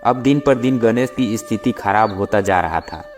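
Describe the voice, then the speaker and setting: A man's voice: fairly low pitch, animated, normal speed. A man narrating a scripted moral tale for children as the storyteller. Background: background music.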